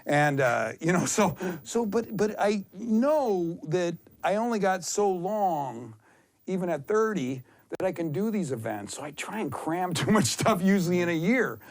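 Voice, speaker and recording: A man in his fifties telling casual stories as an interview guest, excitable and self-deprecating, often rambling and acting out what was said. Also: high voice, a little slow. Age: 50s. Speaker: endurance athlete, interview guest. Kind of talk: casual, anecdotal interview storytelling.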